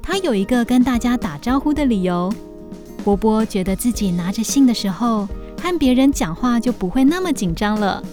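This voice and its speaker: A woman with a fairly high voice narrates a children's audio story. Her delivery is scripted and expressive, made for storytelling, with music playing behind her. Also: normal speed.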